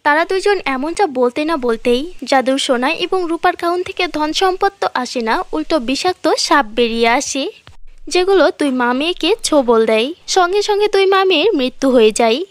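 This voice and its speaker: A woman's voice: high voice, animated, moderate pace, dry recording. A woman reading scripted storybook narration for a fairy-tale cartoon.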